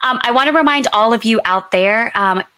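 A woman's voice: a little high, a little fast, pushed and bright, clear, resonant tone, dry recording, low-quality recording. A woman hosting a casual online panel in a chatty, enthusiastic, gushing way. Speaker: panel host.

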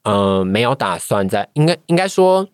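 A man's voice: on the low side, sing-song, normal speed, clean tone, dry recording, clean studio sound. A man with a Taiwanese Mandarin accent, reflecting in a podcast interview and often searching for his words. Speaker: guest, voice-acting trainee.

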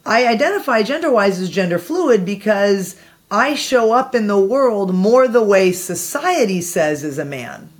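A woman guest on a podcast with a low voice, explaining her views in a reflective, conversational way and often checking in with "right?". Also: expressive, a little slow.

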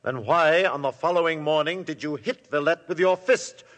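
An actor playing a sharp male Crown prosecutor in a 1950s radio drama, probing and sarcastic in his questioning. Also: on the high side, moderate pace, lightly acted. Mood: cross-examining. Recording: vintage radio broadcast, long hall reverb.

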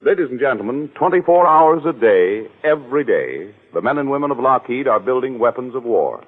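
A man's voice: medium pitch, sing-song, a little fast, slightly rough. A man announcing in a formal, declamatory 1940s radio style, reading a scripted message. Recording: muffled, narrow-band 1940s radio recording.

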